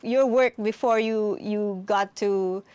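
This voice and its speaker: A woman's voice: medium pitch, steady tone, slow, darkish tone, clear, resonant tone, no room sound. A woman hosting an interview, polite and conversational after a scripted opening. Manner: polite, scripted intro then conversational questions. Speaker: host.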